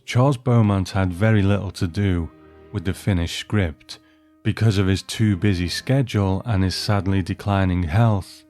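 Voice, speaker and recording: A man narrating a scripted commentary on television episodes in a measured, explanatory way, as the host. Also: deep voice, darkish tone. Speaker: host of a commentary show on an old TV series.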